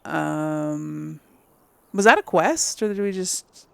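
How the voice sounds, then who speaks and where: A woman's voice: low-pitched, slow, gravelly, a bit bright, smooth, no echo. A woman casually commentating on a game, rambling and thinking aloud in an easily amused, chatty way. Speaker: gameplay host.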